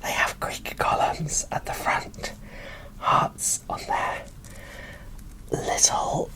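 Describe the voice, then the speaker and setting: A woman's voice: extremely slow, rough recording. Whispering woman, gushing ASMR host. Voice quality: soft, breathy unvoiced whisper. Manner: gushing, enthusiastic. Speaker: host of an ASMR doll unboxing channel. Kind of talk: whispered ASMR show-and-tell.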